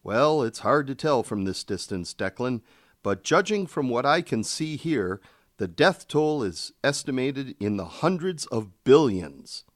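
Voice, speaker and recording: A man's voice: mid-range voice, big pitch swings, measured pace, no echo, studio quality. A man playing an overwrought, zealous field news correspondent in a satirical radio drama. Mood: overwrought, earnest. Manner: melodramatic.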